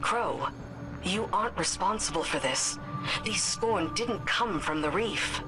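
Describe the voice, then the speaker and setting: An actress playing a composed, guarded commander in a video game cutscene, speaking in a measured, formal, cautioning manner. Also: female voice, lowish voice, expressive, music in the background. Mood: firm, cautioning. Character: composed, guarded female commander, pragmatic and knowledgeable.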